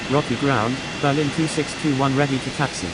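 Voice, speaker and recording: A man's voice: mid-range voice, fairly even intonation, a little fast, very noisy, close to an everyday voice. A man playing an airline pilot in a flight game, making clipped, procedural radio calls. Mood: businesslike. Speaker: airline pilot on air traffic control radio. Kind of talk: scripted radio phraseology.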